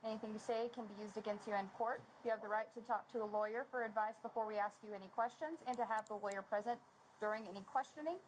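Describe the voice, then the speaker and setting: Rapid, formal policewoman. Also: fairly high pitch, a little hiss or hum, low-quality recording. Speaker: police officer. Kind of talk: reciting legal rights formally.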